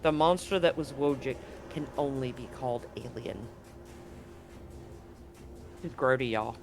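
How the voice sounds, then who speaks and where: A man's voice: mid-range voice, unhurried, background noise. A man game master narrating a tabletop horror game in vivid, graphic detail with slangy asides.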